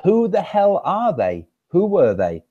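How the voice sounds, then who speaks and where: Lively incredulous man, dull call audio. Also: sits high, unhurried. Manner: questioning, incredulous.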